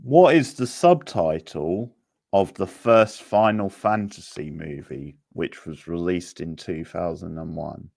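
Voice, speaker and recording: A man casually hosting a live quiz, reading out questions, options and scores in a halting way. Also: sits low, lively intonation, vocal fry, a little mellow, no echo, studio quality. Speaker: quiz host.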